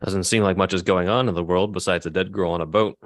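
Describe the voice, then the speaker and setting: A man's voice: deep voice, steady tone, quick pace, no echo, studio quality. A man playing a guarded, awkward young pie maker in a script table read, understated, hesitant and evasive.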